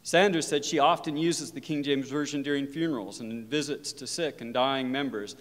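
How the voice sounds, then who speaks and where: A man's voice: mid-range voice, moderate pace. Man lecturing in a reverberant hall. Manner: earnest, reflective, personal storytelling. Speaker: professor of religion giving a lecture. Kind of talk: university lecture.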